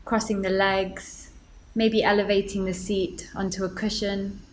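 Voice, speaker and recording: A woman leading a guided meditation, gently giving instructions with deliberate pauses. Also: mid-range voice, little pitch movement, a little hiss or hum, rough recording.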